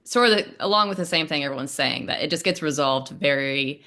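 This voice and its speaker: A woman's voice: sits low, fairly quick, pushed and bright. A woman actor giving casual, conversational feedback in a group discussion.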